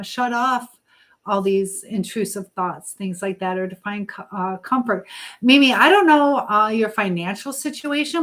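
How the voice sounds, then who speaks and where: Female livestream host, middle-aged, mid-pitched voice, informal and motherly, speaking straight to her viewers. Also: a bit bright. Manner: motherly, advising, addresses viewers directly. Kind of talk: casual live chat, answering viewers' comments.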